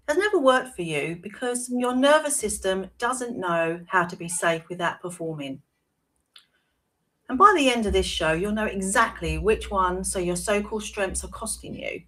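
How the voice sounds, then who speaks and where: A woman, hosting a live-streamed talk show. Her voice is hoarse and croaky, and she talks in a chatty way, often checking in with "right?". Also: medium pitch, fairly bright tone. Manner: chatty, tags points with "right?". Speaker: wellbeing coach and show host.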